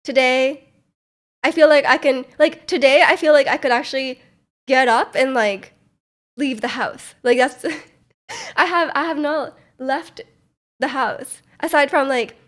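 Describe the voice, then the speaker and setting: A woman's voice: high voice, a little slow. A young woman streamer with a stuffy, congested voice, chatting casually and rambling with lots of fillers. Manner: rambling, many "like" fillers, soft laughs. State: congested, nasal from a cold. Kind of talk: casual chat to live chat.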